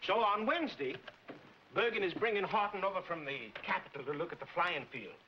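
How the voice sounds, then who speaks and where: Small-town club official, high-pitched, explanatory, on muffled vintage film sound. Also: male voice, lively intonation, a little fast. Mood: explaining. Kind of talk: 1940s film comedy acting. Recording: dull, noisy old film soundtrack.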